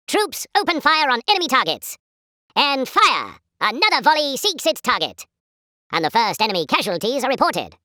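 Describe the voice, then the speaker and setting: A woman's voice: fairly high pitch, pushed and bright, no room sound, clean studio sound. A woman playing a pompous, chipper, patriotic British newsreel announcer in 1940s style, speaking formal theatrical commentary for a game. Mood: jolly, rousing.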